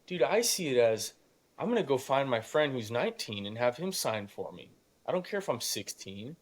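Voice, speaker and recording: A man's voice: medium pitch, big pitch swings, moderate pace. A man of eighteen talking casually and emphatically as a podcast guest, with a youthful voice that can crack and a habit of acting out imagined dialogue. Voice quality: youthful voice that still cracks at times. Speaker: podcast guest, young real estate investor. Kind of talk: casual conversational motivational storytelling.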